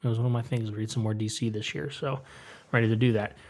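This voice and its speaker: A man's voice: fairly low pitch, steady tone, fast talker, a little mellow. Chatty upbeat man, podcast co-host. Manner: laughs often, upbeat and chatty. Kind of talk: casual chat.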